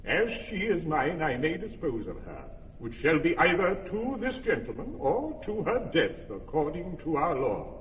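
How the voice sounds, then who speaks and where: An indignant older father rails in a high, swooping voice. He sounds distant and roomy on a dull old radio recording. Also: huge pitch swings, moderate pace, few pauses, fairly bright tone, a little hiss or hum. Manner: indignant, declamatory complaint. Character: angry older father demanding obedience. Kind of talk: old radio verse drama.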